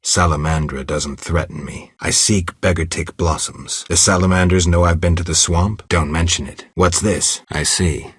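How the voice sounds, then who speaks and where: An actor playing a gruff, stoic monster hunter in a video game, with a low, gravelly voice and a terse, deadpan delivery. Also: male voice, normal speed, few pauses, no echo, clean studio sound. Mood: calm, laconic. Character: gruff, stoic male monster hunter, not entirely human. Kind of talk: video game dialogue acting.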